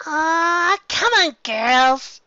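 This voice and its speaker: A man voicing a bubbly, excitable young female pony with a chirpy manner, in dull storybook audio. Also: very high voice, very slow, no room sound, voice pushed up, full character voice. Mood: cheerful.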